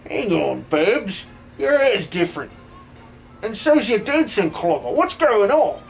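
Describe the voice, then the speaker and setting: High-pitched miner bloke acted by a man. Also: big pitch swings, measured pace, gravelly, soft background music, exaggerated voice, rough recording. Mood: suspicious, curious. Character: gruff, loyal working-class male miner, protective and matey. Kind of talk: comic fan dub of a video game.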